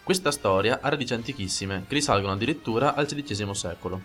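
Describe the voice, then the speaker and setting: A man's voice: fairly low pitch, fast talker, a bit bright. A man co-hosting a radio program, reading scripted historical narration in a formal, informative way. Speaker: radio host. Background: music under the voice.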